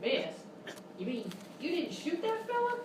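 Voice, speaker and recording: A brassy Old West saloon keeper, acted by a woman in a live comedy sketch. Her Western American speech is folksy and lively, slightly high. It is picked up from a distance in a roomy space. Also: a little slow, full character voice. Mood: curious. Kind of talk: live radio-style sketch comedy acting. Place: live performance in a hotel venue. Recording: noisy, roomy live stage, distant mic.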